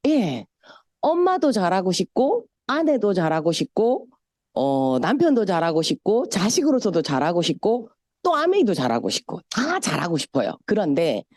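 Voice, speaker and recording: A woman's voice: medium pitch, wildly swooping pitch, moderate pace, darkish tone, dry recording, studio quality. A middle-aged woman from Busan with a Gyeongsang accent, giving a chatty motivational seminar talk and telling stories. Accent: Gyeongsang Korean. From Busan, South Korea. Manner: chatty, storytelling, sometimes mimics voices. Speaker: speaker at a business seminar.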